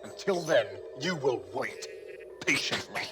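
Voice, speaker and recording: An actor playing an ambitious, commanding Klingon lord in a video game cutscene, speaking in an imperious, deliberate way. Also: male voice, mid-range voice, expressive, over music, lightly acted. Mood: imperious, measured.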